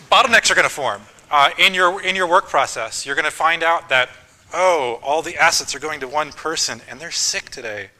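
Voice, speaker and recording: Guy teaching a class, slightly high voice, laid-back, rattles off lists. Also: bright, forceful tone. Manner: casual, list-making, filler ums. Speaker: course instructor. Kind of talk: university lecture.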